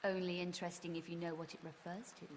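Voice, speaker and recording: Female actor as cool game adventuress, lowish, sharp-tongued, dry, reverberant. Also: little pitch movement, fast talker, background noise, natural voice. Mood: dry, challenging. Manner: dry, sardonic. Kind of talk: video game cutscene acting.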